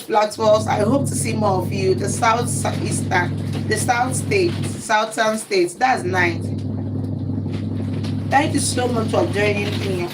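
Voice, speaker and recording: A woman hosting a chatty live stream, talking to viewers and repeating calls to like and subscribe. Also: medium pitch. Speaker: host. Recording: loud background noise with passing vehicles.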